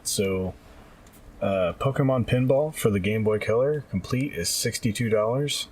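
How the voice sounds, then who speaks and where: A man's voice: fairly low pitch, moderate pace, a little mellow. A man co-hosting a podcast, talking casually about retro games, recorded at a distance from the mic. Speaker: podcast co-host. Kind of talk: casual chat about retro game prices. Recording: distant mic, noticeable background noise.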